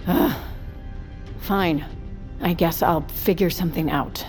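A woman's voice: on the low side, slow, a little mellow, faint music behind. An actress playing a tough, reluctant woman who bargains with exasperation in an audio drama. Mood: exasperated.

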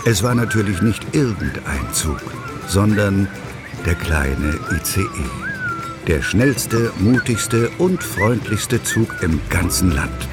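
Deep, expressive male children's narrator. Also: slow. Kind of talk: scripted children's story narration. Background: music.

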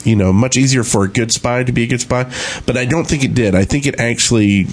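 A man's voice: mid-range voice, quick pace, slight background noise. Casual male gaming podcast co-host.

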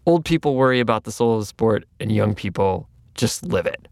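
Gravelly-voiced thoughtful male co-host. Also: fairly low pitch, lively intonation, brisk, a little mellow, no room sound, studio quality. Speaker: podcast co-host. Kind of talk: reflective podcast talk.

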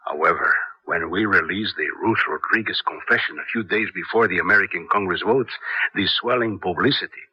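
An actor playing a stern, scheming army colonel with a Spanish accent, measured and commanding, in a radio drama. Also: male voice, low voice, husky. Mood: scheming confidence. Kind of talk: 1970s radio drama acting. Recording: dull lo-fi old radio broadcast.

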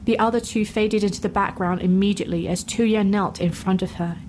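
A woman reads fantasy fiction as its narrator. Her pitch is average for a woman, and faint noise sits behind the voice. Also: fairly even intonation, brisk, darkish tone, poor audio. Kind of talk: scripted fantasy story narration.

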